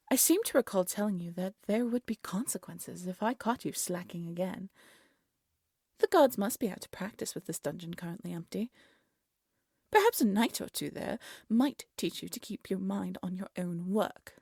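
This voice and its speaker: A woman playing a haughty, cruel evil queen in a fairy-tale audio drama, speaking in an imperious, condescending way with a breathy voice. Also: medium pitch, darkish tone, no echo, clean studio sound. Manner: imperious, scolding, condescending, theatrical.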